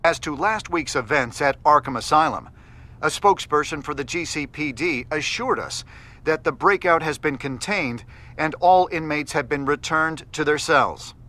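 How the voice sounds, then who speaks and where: Male news anchor: formal, measured, crisp bright tone, slightly high for a man, scripted game bulletin. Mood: formal, serious. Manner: formal, measured newsreader delivery.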